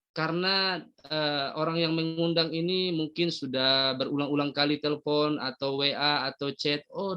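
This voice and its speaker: A man's voice: fairly high pitch, clear, resonant tone, lo-fi sound. A middle-aged man from Medan giving a motivational business presentation, addressing the audience directly with rhetorical questions and emphatic rising bursts. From Medan, North Sumatra, Indonesia.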